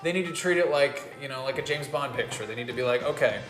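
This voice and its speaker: A man talking casually as a panelist in a relaxed back-and-forth discussion. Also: mid-range voice, fast talker. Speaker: panelist on a video game talk show. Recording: roomy sound with music behind.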